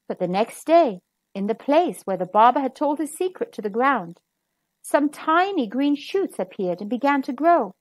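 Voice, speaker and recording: A woman narrating a children's story as an expressive, dramatic read-aloud. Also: high voice, dry recording. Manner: dramatic, emphatic. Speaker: storybook reader.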